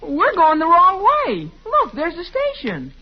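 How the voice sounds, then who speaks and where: A woman's voice: extremely high pitch, huge pitch swings, measured pace, exaggerated voice. A woman playing a blunt, skeptical American boy in an old radio comedy, with an earnest, questioning manner. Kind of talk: 1940s radio comedy acting. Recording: muffled, hissy old radio recording.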